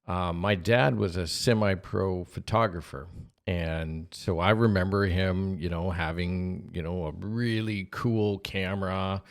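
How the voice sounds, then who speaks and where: Low-voiced man telling a casual story. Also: unhurried. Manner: animated retelling with acted-out dialogue. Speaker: podcast co-host and storyteller.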